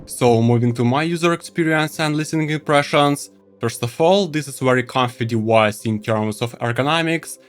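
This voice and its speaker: Lively man reviewing gear over faint music. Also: mid-range voice. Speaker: product reviewer. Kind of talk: semi-scripted video review narration.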